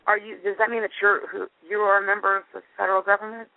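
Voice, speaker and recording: A woman's voice: mid-range voice, flat delivery, quick pace, vocal fry, a little hiss or hum. A woman calling in a complaint by phone, insistent and questioning, often repeating herself. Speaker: member of the public calling in a complaint. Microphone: telephone. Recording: narrow-band telephone line.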